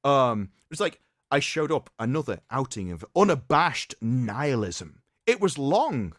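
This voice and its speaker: A man's voice: medium pitch, very animated, a little slow, no room sound, studio quality. A man hosting a stream, giving a sarcastic, emphatic dramatic reading with mocking commentary. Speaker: streamer host.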